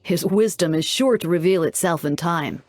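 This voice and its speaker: Woman as a low, wry young deacon. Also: normal speed, dry recording, natural voice. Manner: composed, dryly teasing. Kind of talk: English video game voice acting.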